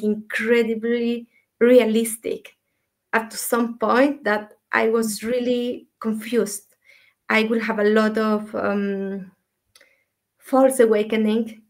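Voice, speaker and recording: Soft, even-toned woman. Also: fairly high pitch. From Ecuador. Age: 30s. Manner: soft, reflective, many hesitations. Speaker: guest, dream mentor. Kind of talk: interview, personal story.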